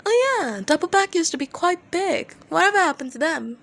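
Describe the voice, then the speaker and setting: A woman's voice: extremely high pitch, animated, brisk, gravelly, fairly bright tone, pitched above the performer's own voice, full character voice. A woman playing a playful, teasing young male rock band leader with a breezy, casual manner in an audio story. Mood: feigned casual.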